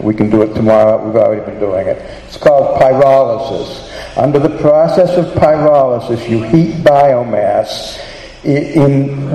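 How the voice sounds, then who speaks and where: A man's voice: mid-range voice, mellow, slight background noise. A man with a Boston accent giving earnest public testimony at a hearing, hurrying with many fillers and restarts. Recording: echoey hall with long reverb.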